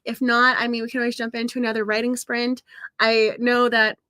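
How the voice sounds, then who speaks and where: A woman hosting a livestream writing session in casual chat, slightly hoarse and a little nasal as she gets over a cold, chatty and encouraging. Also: a little high, level tone, brisk, sharp tone, no room sound. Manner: chatty and encouraging, laughs often.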